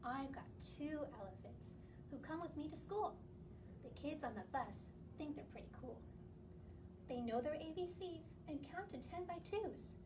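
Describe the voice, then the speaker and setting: Woman, high voice, children's story time host. Reads picture books aloud and prompts little listeners. Noisy, distant recording. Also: lively intonation, moderate pace. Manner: addresses young children with questions and encouragement. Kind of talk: children's read-aloud and singalong. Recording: distant mic, clearly noisy background.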